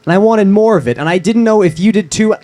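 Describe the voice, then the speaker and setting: A man reading the part of a calm, teasing young man and close friend at a live screenplay table read. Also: high voice, quick pace, natural voice. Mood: anxious, confessing.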